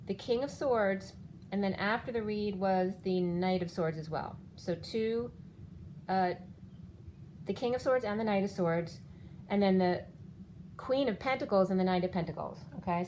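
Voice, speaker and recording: A woman's voice: mid-range voice, a little mellow, a little hiss or hum, poor audio. A woman in her fifties giving a rambling spiritual tarot reading, walking through cards one by one while her voice keeps giving out. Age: 50s. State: losing her voice. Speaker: tarot reader.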